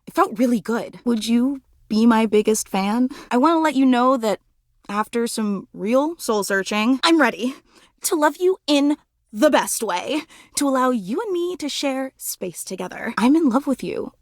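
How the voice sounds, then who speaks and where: A young woman voicing an excitable, starstruck female fan character in a video game, with dramatic, gushing delivery and casual youthful phrasing. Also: on the high side, normal speed, no room sound, clean studio sound. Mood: earnest, tender. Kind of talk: video game voice acting, romance dialogue.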